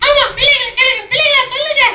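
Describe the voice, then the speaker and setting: Brief: very high squeaky girl puppet, flat scolding tone, echoey and noisy. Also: fast. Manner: scolding, squeaky, sing-song. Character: girl Rosie, upset chicken owner. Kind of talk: children's puppet show. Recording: echoey hall, far mic, loud noise.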